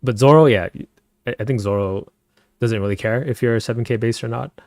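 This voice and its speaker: A man chatting casually with viewers on a live stream, speaking softly and keeping his voice down at night at home, and laughing often. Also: fairly quick, dry recording, clean studio sound. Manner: soft-spoken, keeps voice low at night.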